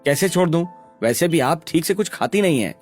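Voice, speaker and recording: A man's voice: fairly high pitch, animated, a little fast, soft background music. A man playing a caring, earnest young tea seller in a cartoon story. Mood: concerned.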